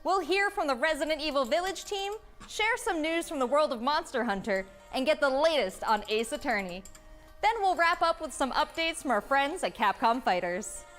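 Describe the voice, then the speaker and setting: A woman with a high voice hosts a scripted game showcase, upbeat and polished. Light music plays beneath her read. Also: lively intonation, normal speed, fairly bright tone. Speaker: showcase host. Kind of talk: scripted presentation.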